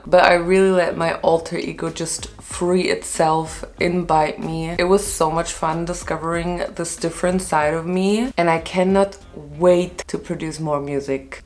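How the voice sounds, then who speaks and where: A young woman in her twenties chatting to camera in a casual home vlog, bubbly and chatty, with a low voice from a hangover. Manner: bubbly, swinging between excited squeals and soft asides. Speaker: singer and vlogger. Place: small bedroom at home, indoors. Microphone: camera on desk, sometimes handheld phone.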